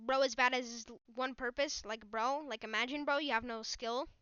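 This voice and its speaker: A woman's voice: a little high, steady tone, normal speed, a bit bright, clean tone, no room sound. A woman giving taunting, exclamatory game voice lines in a recorded clip. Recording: dull dry lo-fi clip.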